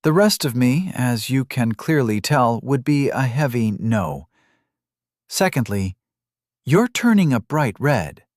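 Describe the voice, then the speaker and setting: A man's voice: medium pitch, very animated, takes it slowly, darkish tone, dry recording, clean studio sound, pitched above the performer's own voice. A male narrator voicing a playful, teasing young woman adventurer, raising his voice well above his own to play her.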